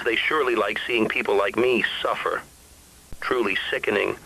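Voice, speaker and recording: A man plays a lowish-voiced, worn-out survivor recording a last confession in an analog horror story. His delivery is halting and brooding, resigned to the worst, with a tense, forward edge to the tone. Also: vocal fry, sharp tone, full character voice, rough recording. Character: weary, despairing man isolated by a sleepless epidemic.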